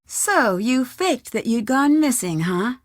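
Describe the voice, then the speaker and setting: An actress playing an earnest, polite young woman detective in a video game, probing and gently assertive. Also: on the high side, sing-song, takes it slowly, no echo, studio quality.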